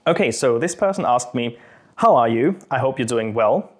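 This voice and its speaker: Young man, from Austria, native Austrian German speaker, British-leaning English accent, medium pitch, friendly, thoughtful, a bit hesitant, casual Q&A host. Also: expressive, normal speed, slightly rough. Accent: Austrian German mixed with British English. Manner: friendly, thoughtful, a little hesitant with frequent ums. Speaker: channel host and fencing instructor. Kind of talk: casual Q&A to camera.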